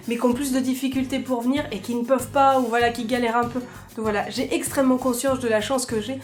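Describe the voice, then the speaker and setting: Chatty young woman vlogging, pretty high voice, soft music in the back. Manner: chatty, self-mocking asides. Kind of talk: casual vlog to camera.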